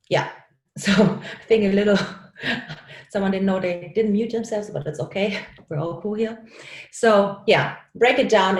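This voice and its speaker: A woman's voice: medium pitch, a little mellow, roomy sound. Upbeat German woman coaching on a call. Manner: upbeat, laughs often, encouraging. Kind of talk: online coaching talk. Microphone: video call.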